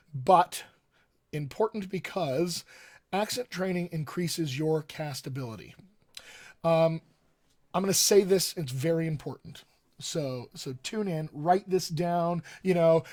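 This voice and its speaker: A man's voice: fairly high pitch, a little mellow. A man in his thirties with a mostly General American accent, raised in Colorado, giving an enthusiastic live-streamed coaching talk from a home study and repeating his key points. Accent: General American with light West Texas and upstate New York features. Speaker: dialect coach. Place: home study with bookshelves, indoors.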